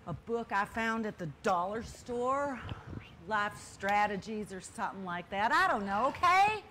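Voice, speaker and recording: An actress playing a stubborn, plaintive younger sister in a stage play. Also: female voice, mid-range voice, animated, unhurried, sharp tone, a little hiss or hum. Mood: defensive. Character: defiant, wounded younger sister yearning to change.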